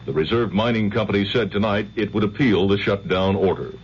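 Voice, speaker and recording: A man's voice: fairly low pitch, a little fast. An American man reading a scripted radio newscast as the anchor. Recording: dull 1970s radio broadcast, faint hum.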